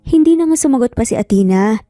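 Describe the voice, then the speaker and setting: A Filipino woman narrates a scripted romance. Her voice is mellow and a little high, recorded dry and close, with soft music underneath. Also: expressive, fast talker, dry recording. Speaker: story narrator. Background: background music.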